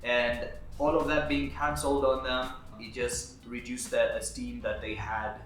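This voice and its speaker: A man's voice: medium pitch, little pitch movement, measured pace, a bit bright. Swahili native guy giving videographer tips, earnest, mid voice, echoey room w faint music. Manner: earnest, structured, advice-giving. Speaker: videographer and business owner. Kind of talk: talking-head advice vlog. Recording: roomy echo with faint background music.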